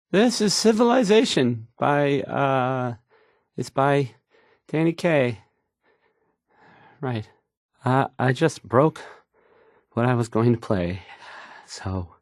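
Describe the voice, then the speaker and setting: A man's voice: on the high side, dry recording, studio quality. An actor playing an awkward, insecure young male radio DJ who stammers and gets flustered introducing songs. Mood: awkward, hesitant. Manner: halting, stammering, flustered, self-doubting. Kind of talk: stumbling radio song introductions.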